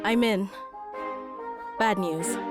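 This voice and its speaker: A sincere young woman in a motion comic, a supportive member of a collective. Her voice sits at a middle pitch and sounds earnest. Faint music runs underneath. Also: drawn-out words. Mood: focused, urgent. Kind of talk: dramatic animated motion comic acting.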